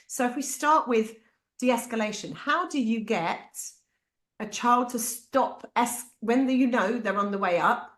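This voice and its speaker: Animated British woman, echoey room. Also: medium pitch. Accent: British English. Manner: animated, acts out quoted voices. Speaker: parent speaker. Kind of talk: candid talk to parents.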